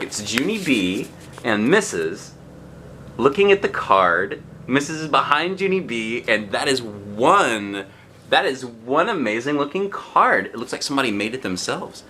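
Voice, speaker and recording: A man's voice: medium pitch, sharp tone, slight background noise, exaggerated voice. A man voicing a patient, kindly but exasperated woman schoolteacher explaining things to a small child in a storybook. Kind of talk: children's storybook read aloud.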